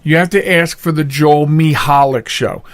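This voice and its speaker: A man's voice: on the high side, moderate pace, no room sound. A man hosting a podcast in casual, chatty, rambling and jokey style. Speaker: podcast host. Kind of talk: casual chat.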